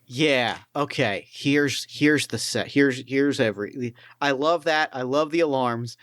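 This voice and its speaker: A man's voice: medium pitch, measured pace, a bit bright, no echo. A man running a tabletop actual-play game as game master, talking with enthusiasm, swearing freely and often restarting his sentences. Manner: enthusiastic, profane, stumbles over restarts.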